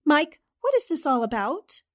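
A woman's voice: sits high, sing-song, moderate pace, no room sound, studio quality. A woman playing a composed, inquisitive newspaper columnist in a 1940s style radio drama, earnest and direct.

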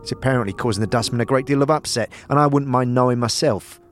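A man's voice: mid-range voice, quick pace, soft background music, voice pushed up, close to an everyday voice. A man voicing a scheming letter writer who gives disdainful, formal instructions in an audio drama. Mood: condescending, brisk.

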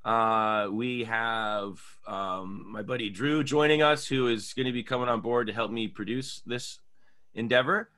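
A Canadian man hosting a podcast in a casual, conversational way, pausing as he thinks. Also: mid-range voice, sharp tone.

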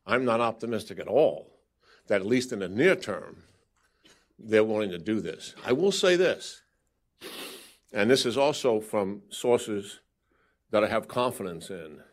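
A man speaking as an official at a press briefing. His voice sits fairly low, and he answers in a measured way, often restarting his sentences. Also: animated.

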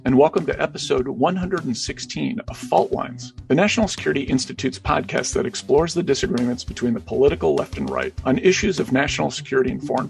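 A man's voice: medium pitch, gravelly. A man hosting a podcast interview, often repeating his first words as he starts a sentence. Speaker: podcast host.

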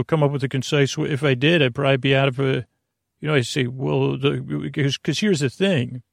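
A man hosting a podcast in a meandering, halting monologue, often trailing off mid-thought with a creaky, gravelly voice. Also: mid-range voice, dry recording, clean studio sound. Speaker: podcast host.

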